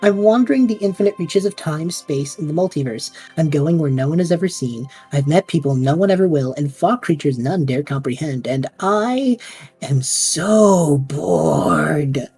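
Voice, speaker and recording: Low, dark-toned woman playing a bored young guy, whiny and dramatic, slightly husky, audio drama. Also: lively intonation, normal speed, soft background music. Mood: bored whining. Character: bored restless young male time traveler.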